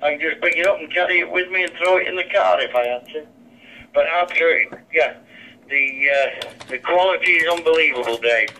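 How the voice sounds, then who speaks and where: A man's voice: fairly high pitch, brisk, pushed and bright, a little hiss or hum. A man chatting casually as an amateur radio operator, his voice coming through a handheld radio. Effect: heard through a handheld radio. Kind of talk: casual two-way radio chat. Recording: dull lo-fi two-way radio speaker.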